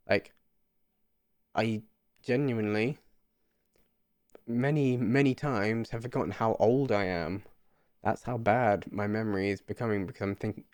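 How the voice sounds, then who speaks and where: Earnest young man, low voice. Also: a little mellow, no room sound, studio quality. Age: 20s. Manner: earnest, rambling, repeats himself. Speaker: vlogger. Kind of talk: casual reflective monologue to camera.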